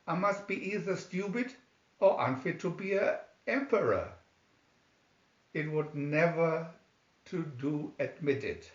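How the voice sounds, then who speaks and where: A man's voice: a little high, expressive, takes it slowly, voice pushed up. A man voicing a vain, pompous, easily flustered emperor in a storybook, with grandiose exclamations. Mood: flustered worry. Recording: roomy, dull lo-fi home recording.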